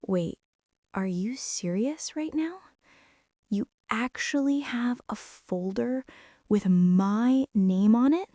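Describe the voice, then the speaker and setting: A woman playing a young former bully in an intimate ASMR roleplay, speaking in a hushed, hesitant way that often slips toward a whisper. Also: fairly high pitch, expressive, slow pace, dry recording, clean studio sound. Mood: suspicious, hushed. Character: young female former bully, stunned, teasing, softening into tenderness.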